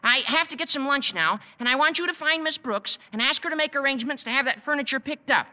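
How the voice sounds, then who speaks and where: An actor playing an eager, fast-talking teenage schoolboy in a 1950s radio sitcom, with a high, youthful voice. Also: male voice, sharp tone, heavily acted. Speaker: student. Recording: old radio broadcast with long reverb.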